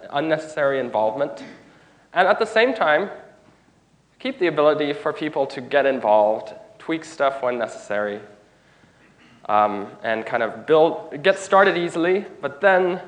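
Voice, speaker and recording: A man's voice: fairly high pitch, poor audio. A man giving a technical conference talk in a room, stuffed up from a cold, informal and often restarting phrases. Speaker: conference speaker.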